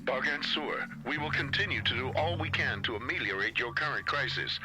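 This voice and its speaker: A man's voice: mid-range voice, expressive, brisk, music in the background, lightly acted, rough recording. A man playing a resolute military commander in a space video game, formal and reassuring in manner.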